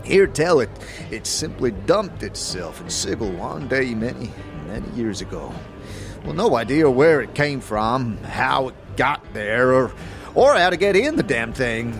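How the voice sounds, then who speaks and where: A man voicing a coughing, short-winded local who tells folksy, halting tales in a fantasy audio game. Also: mid-range voice, sing-song. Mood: weary, musing. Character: wheezy, rambling male local with a bad cough. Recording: music under the voice.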